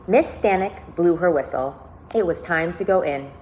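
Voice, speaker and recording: A woman teacher reading a children's novel aloud to her class, speaking deliberately and pausing to think aloud with questions. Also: on the low side, darkish tone, a little hiss or hum, poor audio. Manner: deliberate, questioning, stressing key words for young listeners.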